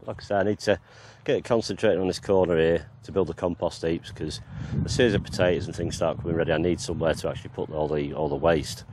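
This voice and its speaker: Very deep-voiced man touring his garden. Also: quick pace, hardly any pauses, a little hiss or hum. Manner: relaxed, rambling, lots of fillers. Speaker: gardening vlogger. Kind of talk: casual walk-around garden tour. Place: outdoors in an allotment and back garden.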